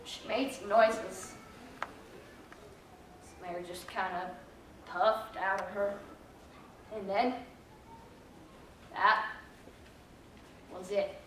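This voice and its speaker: A woman's voice: mid-range voice, fairly even intonation, very slow, pauses often, a bit bright. A woman on stage playing a shaken, grief-stricken eyewitness in a readers theater drama. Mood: hushed, bleak. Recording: echoey hall from far off, faint noise.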